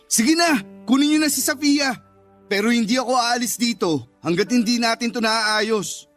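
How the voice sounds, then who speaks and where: A man's voice: very high-pitched, moderate pace, fairly bright tone, no room sound. A man playing an aggrieved, stubborn Filipino father in a radio romance drama, insistent and conversational. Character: aggrieved, stubborn divorced father standing his ground. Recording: music under the voice.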